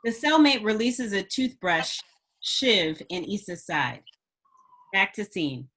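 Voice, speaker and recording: A woman's voice: medium pitch, sing-song, slow pace, a bit bright, slightly rough. A woman reading screenplay stage directions as a scripted narrator.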